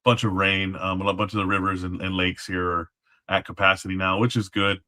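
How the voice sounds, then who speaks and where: A man's voice: deep voice, little pitch movement, fairly quick, pushed and bright. A man from Texas chatting as a guest, polite and folksy, often repeating words as he goes. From Texas, United States. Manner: polite, says sir, folksy y'all. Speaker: guest, former Alamo guard. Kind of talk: casual interview chat.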